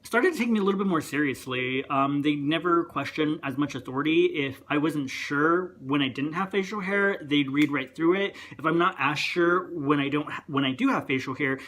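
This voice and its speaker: A young man talks in a casual vlog rant. His voice sits a little high, and strangers sometimes take it for a woman's. He rambles, breaks off mid-thought and circles back. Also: moderate pace, hardly any pauses, a bit bright, slight background noise. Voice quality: higher voice, sometimes heard as female. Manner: rambling, chatty, self-interrupting. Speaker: vlogger.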